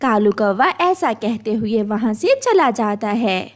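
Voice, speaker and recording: A woman's voice: a little high, lively intonation, takes it slowly. A woman narrating a scripted children's story.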